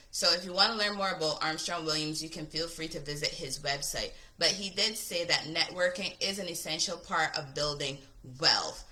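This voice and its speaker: Casting: chatty woman from Barbados, a coach, with a fairly low, bright voice. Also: poor audio. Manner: chatty, upbeat, says "right?" often. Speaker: business coach. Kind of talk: casual talk to camera.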